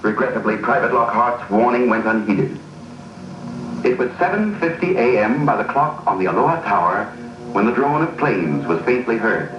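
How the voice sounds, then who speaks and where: A male narrator reads a 1940s documentary script formally and dramatically, with a very varied melody. The soundtrack is distant, muffled and clearly noisy. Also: mid-range voice, expressive, moderate pace, reverberant.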